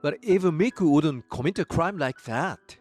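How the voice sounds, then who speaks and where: A man's voice: fairly high pitch, animated, normal speed, a little mellow, faint music behind. A man playing an earnest, concerned young fiancé in a dramatized manga story. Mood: surprised. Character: concerned young male fiancé, disbelieving but supportive.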